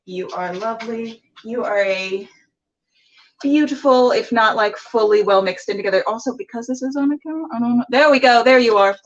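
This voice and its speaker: A woman's voice: on the high side, brisk. A woman chatting casually and playfully to camera as a cooking show host, rambling and talking to herself as she goes. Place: indoors while cooking, live stream. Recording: roomy, distant, dull camera sound.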